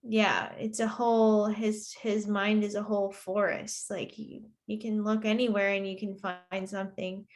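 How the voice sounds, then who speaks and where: A woman's voice: medium pitch, little pitch movement, unhurried, hardly any pauses. A woman co-hosting a podcast, talking casually and without a script. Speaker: podcast co-host. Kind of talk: casual unscripted podcast chat.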